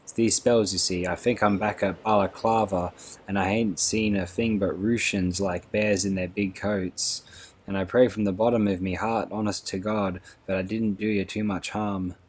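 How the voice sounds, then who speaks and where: A man's voice: fairly low pitch, steady tone, normal speed, lightly acted. A man voicing a broken, apologetic old male soldier who speaks in a humble, pleading country way. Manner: pleading, humble rustic speech.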